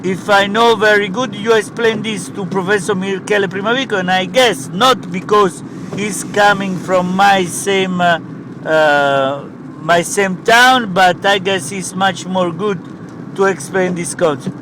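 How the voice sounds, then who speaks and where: A man's voice: high-pitched, steady tone, measured pace, background noise. A man putting on a grandiose Italian professor. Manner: grandiose, rambling, broken English. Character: rambling pompous Italian male professor.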